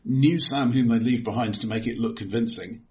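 A man's voice: medium pitch, moderate pace. A man in a casual film discussion, talking as an analytical co-host with some hesitant restarts. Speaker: podcast co-host reviewing films.

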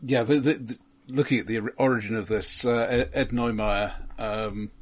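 A man's voice: mid-range voice, fairly even intonation, moderate pace. A man co-hosting a casual film chat, stammering as he shares background facts. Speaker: podcast co-host.

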